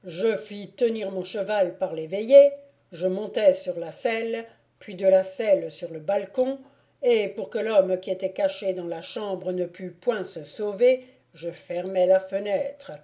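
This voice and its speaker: A woman's voice: mid-range voice, a little slow, warm tone, lightly acted. A woman voicing a courtly, self-assured male lord who spins tales, in a storybook reading. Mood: boastful.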